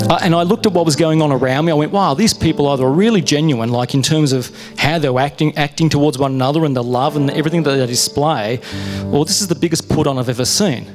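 A middle-aged man from Australia with an Australian accent, sharing a personal testimony on a church stage in a chatty, storytelling way that is full of restarts, speaking into a handheld mic. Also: fairly high pitch. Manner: conversational storytelling with self-interruptions. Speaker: guest speaker.

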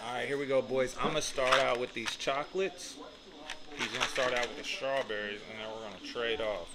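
A man's voice: medium pitch, fairly even intonation, fairly quick, heavy background noise. A young man hosting a casual food review vlog in a small restaurant, talking in hyped, slang-heavy banter into a phone camera. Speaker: vlog host. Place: small restaurant dining room.

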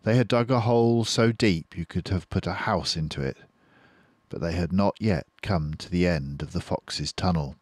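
An older man reading a children's book aloud as storyteller, unhurried and expressive. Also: deep voice, husky, no room sound.

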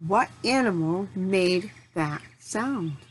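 A middle-aged woman leading a lesson for young children, asking simple questions, pausing for answers and encouraging them. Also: fairly low pitch, lively intonation, background noise. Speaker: teacher.

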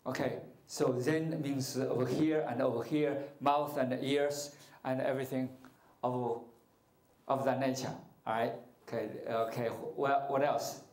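A middle-aged man gives a playful spiritual talk on a stage, quizzing the crowd and joking as he goes. His voice sits at an ordinary pitch and rings through a big, echoey hall from a handheld mic. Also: measured pace. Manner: animated, joking, asks the audience questions. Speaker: martial arts and meditation teacher. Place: large hall with curtained stage.

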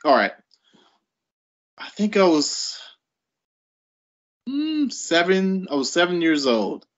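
Lively higher-voiced man in his late thirties. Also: slow. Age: late 30s. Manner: animated storyteller, laughing between thoughts. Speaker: guest writer. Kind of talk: casual interview storytelling. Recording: dull lo-fi audio.

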